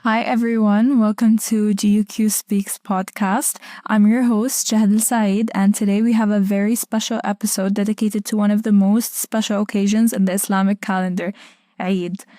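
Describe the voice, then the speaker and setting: A woman's voice: mid-range voice, flat delivery, moderate pace, warm tone, no room sound, clean studio sound. A young woman from Egypt hosting a podcast in a casual, conversational style. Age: young adult. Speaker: podcast host.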